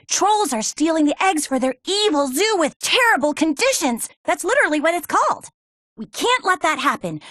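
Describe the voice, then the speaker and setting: A woman's voice: high-pitched, fairly bright tone, no echo. A brave adventurer woman from a video game, who guides heroes with upbeat confidence. She is sassy and slips in wry asides. The sound is clean and dry, with no room around the voice. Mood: urgent, determined. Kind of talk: video game character voice lines.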